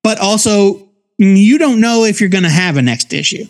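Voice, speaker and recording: A man's voice: sits high, animated, moderate pace. An American man in his forties giving casual, conversational commentary as a podcast co-host. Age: 40s. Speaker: podcast co-host reviewing comics.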